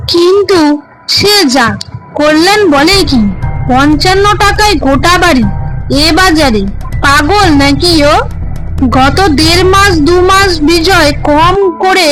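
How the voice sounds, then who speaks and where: A woman's voice: very high-pitched, poor audio. A woman narrator reading a scripted horror story for a cartoon.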